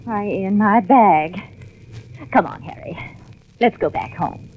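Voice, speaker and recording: A secretive wife soothes and deflects in a vintage radio drama, played by a woman. Her voice is medium-pitched, on a dull recording with faint music. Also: slow, natural voice. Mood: defensive. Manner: evasive, placating. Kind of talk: 1940s radio drama acting.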